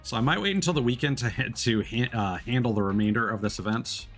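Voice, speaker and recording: A man chatting casually while live streaming a game, explaining things in a rambling, halting way. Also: mid-range voice, a bit bright, faint music behind. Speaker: live streamer playing games. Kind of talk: casual chat while gaming.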